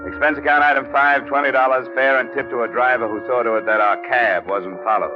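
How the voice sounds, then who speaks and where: An actor playing a wry, unflappable hard-boiled private investigator with a dry manner in an old radio drama. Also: male voice, medium pitch, steady tone, a little fast, close to an everyday voice. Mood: matter-of-fact narrating. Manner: dry, sardonic. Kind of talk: 1940s-50s radio detective acting and narration. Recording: muffled old radio recording with music.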